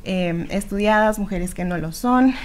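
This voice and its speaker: A woman's voice: mid-range voice, measured pace. A young woman explaining as an interview guest, often searching for her words. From Guatemala. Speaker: programme worker guest. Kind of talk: explanatory radio interview answer. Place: small radio studio with several people. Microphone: studio microphone close up.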